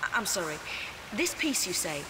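An actress playing a cool, sardonic young adventuress in a video game, with a British accent and a dry, politely mocking way of talking. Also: female voice, fairly low pitch, big pitch swings, normal speed. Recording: echoey cave, running water hiss.

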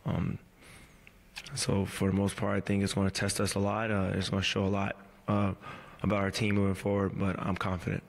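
A man in his thirties gives postgame answers in a low, even voice. He speaks slowly and in a measured way, pausing and restarting often. The table mic carries a long reverb from the press room. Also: gravelly. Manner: measured, halting, many fillers and restarts. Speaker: pro basketball player at postgame press conference. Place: press room before a team backdrop. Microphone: table mic on a stand.

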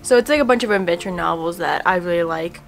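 A woman's voice: on the low side, quick pace, creaky, soft background music. Chatty young woman vlogging about books. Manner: chatty and relaxed, many fillers. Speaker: book vlogger. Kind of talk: casual book tag vlog. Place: home room in front of bookshelves.

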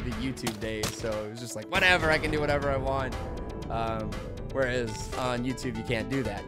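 A young man giving goofy, casual gameplay commentary, slightly hoarse from losing his voice, with sudden loud yelled outbursts. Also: medium pitch. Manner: goofy, jokey, bursts into loud yelled shouts. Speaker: gaming commentator. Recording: loud game music behind the voice. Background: video game music.

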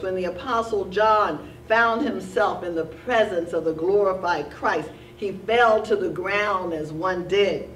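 A woman teaching a Sunday school Bible lesson at a church lectern, reading steadily and slowing down to stress key words. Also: fairly low pitch, slight background noise. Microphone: lectern mic, filmed from a distant camera. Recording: roomy church sound from a distance.

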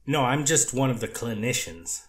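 A man, a treatment counselor, testifying as a witness in a courtroom, measured and guarded, speaking in institutional terms. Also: medium pitch, animated. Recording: echoey courtroom audio.

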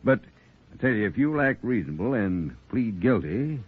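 An actor playing a folksy, exasperated village judge with an American accent and homespun talk, in 1940s radio drama style. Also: male voice, lowish voice, very animated, moderate pace. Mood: reluctant, exasperated. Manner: folksy, homespun rural way of talking. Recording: scratchy, husky-sounding 1940s radio recording, phone-like.

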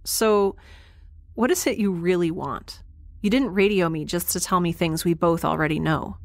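A woman's voice: lowish voice, moderate pace, no room sound, close to an everyday voice. A woman playing a sarcastic, defiant young spaceship dweller in an audio drama, with a flippant, casual delivery.

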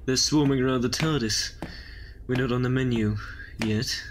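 A man playing an ancient, quick-witted time traveller in an amateur audio drama. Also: mid-range voice, steady tone, background noise, close to an everyday voice. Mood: urgent.

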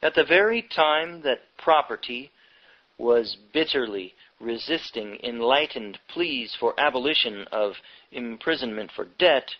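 A man reading a nonfiction history book aloud from the text, with deliberate phrasing broken into short, halting chunks. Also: medium pitch, animated. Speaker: audiobook reader. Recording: dull, lo-fi audiobook recording.

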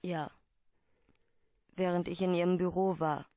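An actress playing a guarded, troubled client pleading for help in a German radio play, hesitant and earnest. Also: female voice, on the low side, little pitch movement, unhurried, warm tone, dry recording, natural voice. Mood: guarded, explaining.

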